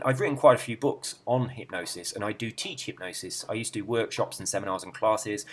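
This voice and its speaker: A middle-aged man chatting casually, rambling and easily sidetracked as he browses a website, with a soft, relaxed way of speaking. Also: mid-range voice. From England. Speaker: YouTuber and hypnotist.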